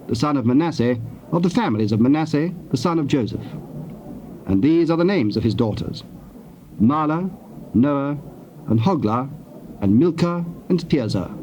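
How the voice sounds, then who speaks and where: A man narrating scripture from a script in a measured, formal storytelling manner. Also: mid-range voice, warm tone. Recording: dull old tape, faint noise.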